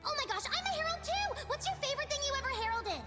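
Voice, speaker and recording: A woman voicing a playful, excitable young trickster girl in a video game, gushing and girlish, with music behind her. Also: very high-pitched, fast. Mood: giddy, excited.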